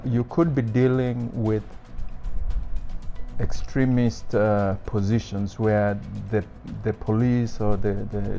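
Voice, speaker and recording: A man with a lowish, mellow voice speaks in a measured, hesitant way, pausing on fillers as he reflects like a father in an interview. The sound is dull and lo-fi. Faint music sits underneath. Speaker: father interviewed about policing.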